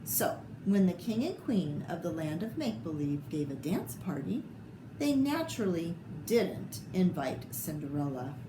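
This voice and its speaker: A woman reading a children's picture book aloud with expressive, theatrical delivery and short asides about the pictures. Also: on the low side, unhurried. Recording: echoey room with clear background noise.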